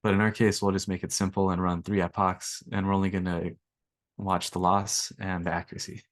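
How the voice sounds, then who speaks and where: Young guy, low monotone, bit raspy, laid-back workshop teacher. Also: brisk, no echo, clean studio sound. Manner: relaxed, filler-heavy explaining. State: slightly raspy from allergies. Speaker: workshop instructor. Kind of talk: screen-share coding tutorial.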